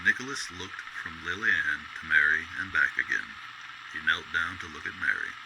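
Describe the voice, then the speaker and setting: A man's voice: extremely low pitch, animated, fairly quick, vocal fry. A man reading scripted story narration in the style of an old-time radio drama. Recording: noisy background.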